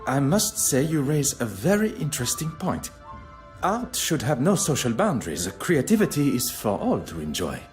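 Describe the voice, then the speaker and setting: A man's voice: medium pitch, very animated, normal speed, soft background music, natural voice. Courteous Belgian detective, theatrically lilting man acting. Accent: French-speaking Belgian. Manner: formal, precise, polite phrasing. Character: courteous, probing foreign male detective, formal and theatrical. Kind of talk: video game voice acting.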